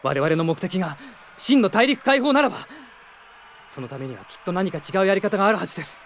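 An actor playing a young, idealistic prince in an anime-style radio drama, speaking with polite, earnest formality. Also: male voice, high-pitched, animated, a little fast, a bit bright, slight background noise. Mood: pleading, impassioned.

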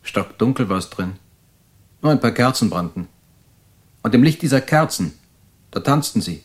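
An actor playing an anxious, paranoid male mental patient confessing his story in a halting, brooding way in an audio drama. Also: mid-range voice, lively intonation, lightly acted. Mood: disturbed disbelief.

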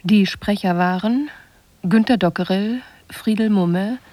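Casting: female radio announcer, unhurried scripted credits, medium pitch, close dry sound. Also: dark tone, dry recording.

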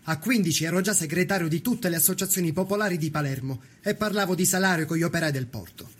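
An actor playing a proud, fervent young Sicilian workers' leader in a dramatised documentary, speaking in declarative, rhetorical phrases. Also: male voice, fairly high pitch, quick pace, husky.

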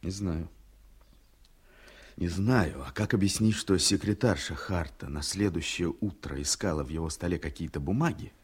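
Radio drama: troubled male lawyer, conscientious, earnest, low and husky voice. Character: anxious, conscientious male lawyer full of doubts. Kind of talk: Soviet-style radio play acting.

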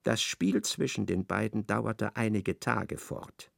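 A man narrating a literary radio play in a measured, precise storytelling manner. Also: on the low side, fairly even intonation, vocal fry, no room sound.

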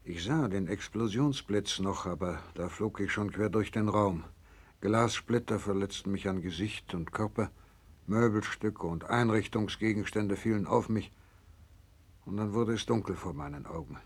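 An actor playing a grave, resolute doctor who survived a disaster, in a 1960s German radio play, solemn when narrating and commanding when giving orders. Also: male voice, fairly low pitch, husky. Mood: grave, stunned. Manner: solemn measured narrating, urgent commanding in dialogue. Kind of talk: 1960s German radio drama acting, first-person narration.

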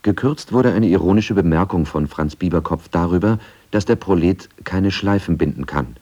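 Low, mellow male documentary narrator. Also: moderate pace, breathy edge, lo-fi sound.

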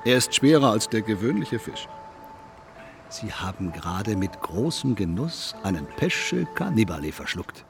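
Cynical needling guy in a radio play, very varied pitch, deliberate, music under it. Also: sing-song, gravelly, heavily acted. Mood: mocking, smug. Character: cynical, coolly provocative man in dark sunglasses. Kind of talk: stylised German radio play dialogue.

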